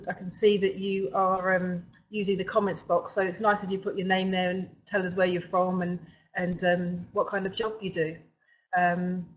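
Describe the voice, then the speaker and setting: A middle-aged woman hosting an informal webinar, speaking haltingly with frequent pauses mid-sentence. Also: mid-range voice. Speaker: webinar host for a recruitment company. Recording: dull, lo-fi webinar audio.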